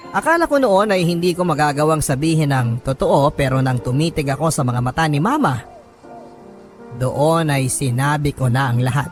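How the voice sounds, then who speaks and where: A man with a Filipino accent reading a listener's letter as a radio host, in dramatic first-person narration. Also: fairly high pitch. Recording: music bed under narration.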